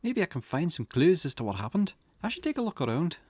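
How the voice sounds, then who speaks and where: A man's voice: a little high, sing-song, quick pace, darkish tone, husky, no echo. A man voicing a confused, dramatic Scottish woman who has lost her memory, in a video game. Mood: puzzled, searching. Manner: bewildered, questioning, dramatic. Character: confused amnesiac woman waking in pain, visual novel protagonist.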